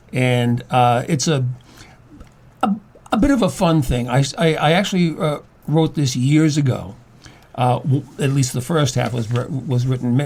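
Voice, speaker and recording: An older man with a medium-pitched voice speaks as a guest in a conversational interview. He explains in a halting, stammering way, often repeating words as he goes. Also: darkish tone. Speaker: studio guest, playwright and former teacher.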